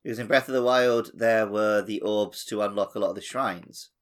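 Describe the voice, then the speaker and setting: A man's voice: on the low side, fairly even intonation, moderate pace. A man co-hosting a gaming podcast, chatting casually with wry, self-deprecating humour. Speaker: podcast co-host. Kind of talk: casual, joking video game chat.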